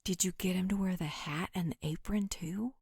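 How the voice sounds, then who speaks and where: A woman's voice: lowish voice, moderate pace, vocal fry, darkish tone, husky, no echo, clean studio sound. A woman playing a teasing, playful mother figure in a supernatural roleplay audio drama. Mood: amused, teasing.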